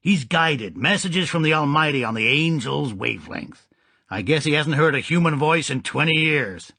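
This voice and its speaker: A man's voice: a little high, expressive, moderate pace, creaky, bright, forceful tone, slightly rough, dry recording, pitched above the performer's own voice, full character voice. A man voicing a sour, impatient male gangster.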